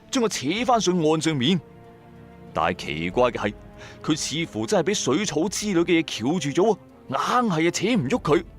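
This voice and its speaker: A man with a Cantonese accent narrates a first-person ghost story in a colloquial, dramatic, suspenseful way. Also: medium pitch. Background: music.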